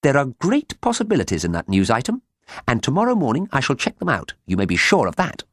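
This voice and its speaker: A man's voice: medium pitch, huge pitch swings, fast talker, dry recording, clean studio sound, pitched above the performer's own voice. A man voicing a bossy, scheming woman in a storybook, making grand and haughty declarations.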